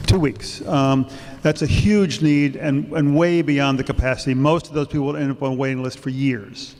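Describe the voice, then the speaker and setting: An older man giving an explanatory public talk on housing into a handheld microphone, emphatic and loud-voiced. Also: medium pitch, steady tone, normal speed. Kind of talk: lecture to a public audience. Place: public hall. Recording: reverberant hall.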